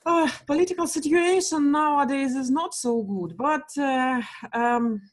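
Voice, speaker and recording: A Russian woman with a Russian accent, a primary school teacher, speaking halting English as a student with many pauses and fillers. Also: on the high side. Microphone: video call.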